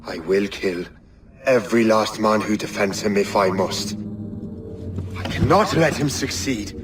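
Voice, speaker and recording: A man's voice: fairly low pitch, a little slow, far from the mic, faint music behind, lightly acted. A man playing a determined, brooding hunter and sea captain in a dramatic video game, terse and resolute. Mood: grimly resolute.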